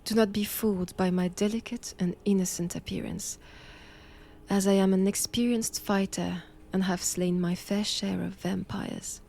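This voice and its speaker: A woman's voice: mid-range voice, steady tone, creaky, dark tone, slight background noise, natural voice. A woman with a French accent playing a stern, righteous vampire-hunting nun in a roleplay audio drama, speaking in a formal, commanding manner. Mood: menacing, cold. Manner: slow, deliberate, formal, commanding.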